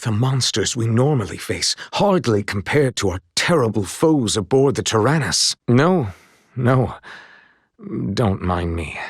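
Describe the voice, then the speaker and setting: A man voicing an earnest, honorable young knight in a video game, speaking in formal, courtly, old-fashioned phrasing with a husky voice. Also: lowish voice, sing-song, measured pace, no room sound, studio quality, full character voice. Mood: humble, troubled.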